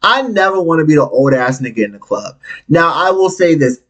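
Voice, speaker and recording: Animated, candid man in his thirties hosting a podcast. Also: brisk. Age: 30s. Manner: candid, animated, big swings in pitch and volume; blunt, profane, conversational. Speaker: podcast host. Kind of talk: solo podcast monologue.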